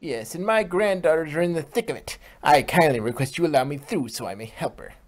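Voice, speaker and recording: A woman's voice: deep voice, animated, brisk. A woman voicing a firm, loving old grandmother pony, earnest and reassuring, in an amateur fan fiction reading.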